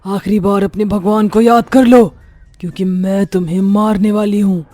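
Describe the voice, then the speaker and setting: A woman playing a boastful, menacing man-eating witch in a horror story, taunting theatrically with drawn-out threats. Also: mid-range voice, steady tone, warm tone, no room sound, lightly acted. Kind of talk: dramatized Hindi horror story acting.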